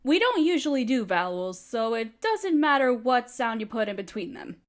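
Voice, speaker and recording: A woman playing a motherly spider queen in a roleplay audio, speaking tenderly and patiently with gentle teasing and formal wording. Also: on the high side, big pitch swings, moderate pace. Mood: gentle teaching. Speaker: mother figure teaching a language. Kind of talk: roleplay audio, softly acted monologue.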